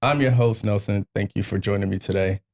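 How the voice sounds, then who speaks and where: A man's voice: fairly low pitch, steady tone, a little fast, darkish tone. An American man, around his thirties, hosting a podcast with casual, comedic banter, wry, profane and emphatic. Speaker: podcast host.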